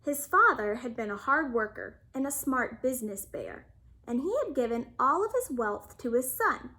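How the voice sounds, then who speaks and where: A woman storyteller reading a bedtime story aloud to children with expressive narration. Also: sits high, normal speed.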